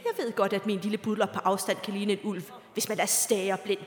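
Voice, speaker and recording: An actress playing an indignant, sharp-witted parishioner in a comic radio drama. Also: female voice, medium pitch, little pitch movement, lightly acted. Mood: shrewd, accusing. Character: indignant, sharp-witted married woman parishioner, devoted dog owner. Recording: echoey reverberant church sound.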